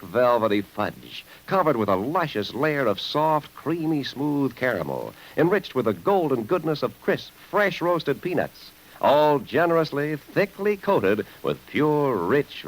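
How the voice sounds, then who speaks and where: A man delivering an enthusiastic, emphatic sales pitch as a radio announcer in the 1950s style. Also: rough recording. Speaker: commercial announcer.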